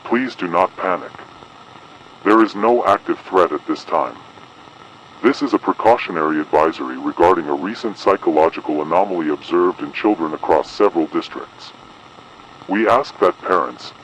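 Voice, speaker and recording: A man playing an official announcer delivering a measured, formal, ominous emergency advisory in an analog horror broadcast. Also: low voice, fairly bright tone, highs cut off, slight background noise. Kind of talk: scripted analog horror public broadcast narration.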